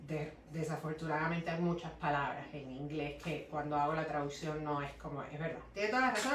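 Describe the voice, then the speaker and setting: A woman's voice: mid-range voice, fairly bright tone. Cuban woman lawyer in roomy office. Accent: Cuban Spanish. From Cuba. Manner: explanatory, reads questions aloud, mixes in English words. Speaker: immigration lawyer. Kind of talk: live Q&A, answering viewer questions. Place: law office, indoors. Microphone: webcam at desk distance. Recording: echoey office, voice a bit far from mic.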